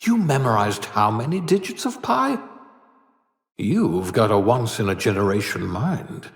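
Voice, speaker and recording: Game role: eccentric older male genius, wry and excitable, wildly swooping delivery, echoing reverberant space. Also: fairly low pitch, unhurried. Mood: urgent, admiring. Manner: theatrical, wry, teasing, quaintly wordy. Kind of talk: video game character acting. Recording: echoey room with long reverb.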